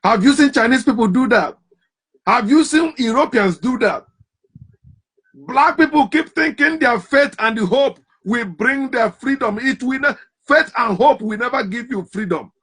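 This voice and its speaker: A man giving a forceful, preaching monologue full of rhetorical questions, his loudness rising and falling sharply. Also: very high voice, animated, moderate pace, husky. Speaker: commentator.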